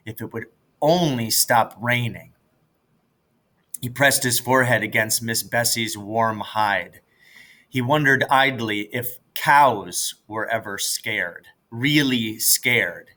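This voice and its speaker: A man narrates a children's novel as a read-aloud. His voice sits at a middle pitch with a bright, forceful tone, in the style of a teacher reading a story.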